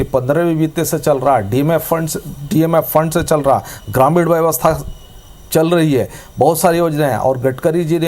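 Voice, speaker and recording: A man's voice: on the high side, darkish tone. A man debating as a politician, insistent and repeating his phrases.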